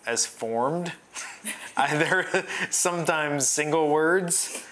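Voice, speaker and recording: A woman's voice: sits low, extremely slow, a bit bright, slight background noise. A woman co-hosting a show, chatting casually in a playful, jokey way. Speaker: co-host of a movie-themed homebrewing show. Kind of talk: casual conversational chat.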